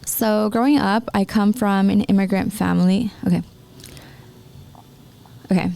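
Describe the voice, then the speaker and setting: A woman of twenty-three, raised in the United States, talking casually and haltingly in a personal podcast monologue. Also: medium pitch, fairly even intonation, creaky, warm tone. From born in Mexico, raised in the United States. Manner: halting, restarts her sentences repeatedly. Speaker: podcast host and entrepreneur.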